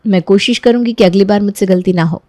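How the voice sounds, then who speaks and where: A woman playing a young daughter-in-law in a story, firm and measured, polite but standing her ground. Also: medium pitch, warm tone, no echo, studio quality, natural voice. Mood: calm, polite.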